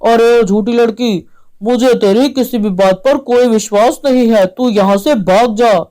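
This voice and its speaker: A woman's voice: on the high side, unhurried, mellow, natural voice. A woman voicing a proud, grumpy talking tree in a children's story, with a haughty, curt manner. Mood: scornful.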